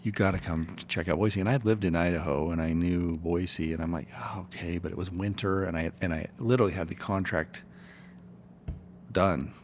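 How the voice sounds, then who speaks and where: Very deep-voiced rambling podcast host. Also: male voice, steady tone, moderate pace, a little hiss or hum. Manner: rambling, chatty, many restarts. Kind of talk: podcast interview.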